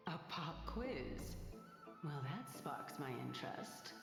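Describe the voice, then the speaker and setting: A woman's voice: low voice, very animated, slow, creaky, music in the background, heavily acted. An actress playing an enigmatic, self-assured woman sage in a video game, with a languid, amused and teasing manner. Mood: composed, smug. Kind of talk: video game character acting. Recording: very echoey long hall reverb.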